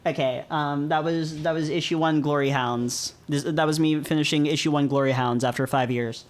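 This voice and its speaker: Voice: medium pitch, steady tone, moderate pace. A streamer who reads visual novels aloud and chats casually, often repeating phrases when reacting. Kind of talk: livestream read-aloud with casual chat.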